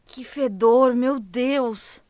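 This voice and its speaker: A woman's voice: fairly high pitch, drawn-out words, darkish tone, no room sound. An actress in an audio drama playing a young woman journalist, fearful but defiant, with an urgent, pleading manner. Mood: uneasy.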